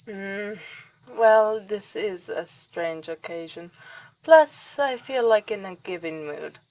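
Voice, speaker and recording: Actress as reluctant vampire princess, ASMR roleplay: kindly, wry, slightly haughty, slow delivery, faint crickets in the background. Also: female voice, mid-range voice, lively intonation, a little mellow, rough recording. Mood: intrigued. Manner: formal wording with wry, weary asides. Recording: outdoor ambience with faint insect noise.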